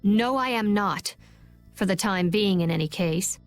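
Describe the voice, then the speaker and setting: An actress playing an aloof female advisor who speaks in a cryptic, measured, formal way. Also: mid-range voice, natural voice. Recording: game music underneath.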